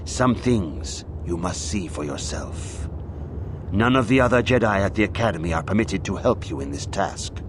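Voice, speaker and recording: A man playing a grave Jedi master in a video game, instructing an apprentice in a measured, formal manner. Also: sits low, expressive, background noise. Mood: solemn, grave. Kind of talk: scripted game dialogue, solemn instruction.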